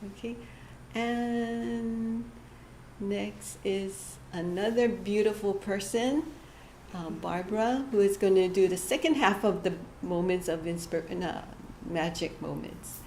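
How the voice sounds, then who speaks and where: A woman giving a short inspirational church message, gentle and halting, often laughing at herself. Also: medium pitch. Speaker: church speaker. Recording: echoey hall with faint room noise.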